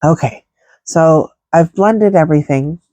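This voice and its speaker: A woman's voice: low-pitched, unhurried, warm tone, no room sound. A woman with a stuffy, congested nose chatting casually through a makeup tutorial, rambling with many fillers. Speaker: makeup vlogger.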